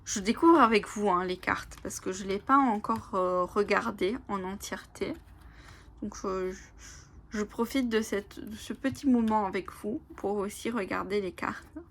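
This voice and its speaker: A woman whose first language is Italian, casually presenting oracle cards, reading their lines aloud slowly and whispering in awe, with many fillers. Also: mid-range voice. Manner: soft, often whispering in awe, hesitant with fillers. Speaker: oracle card channel host.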